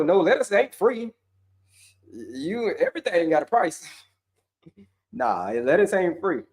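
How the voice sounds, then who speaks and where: Emphatic man answering viewer questions. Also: on the high side. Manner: repetitive, emphatic, slangy, addresses viewers as folks. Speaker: diabetes wellness coach. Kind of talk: casual viewer Q&A.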